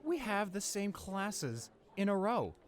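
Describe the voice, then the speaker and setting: A young man playing a friendly, easily flustered high school senior in a roleplay audio drama, with an awkward, rambling, hesitant way of talking. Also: sing-song, takes it slowly, full character voice. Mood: eager, friendly. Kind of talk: roleplay audio drama, casual conversational acting.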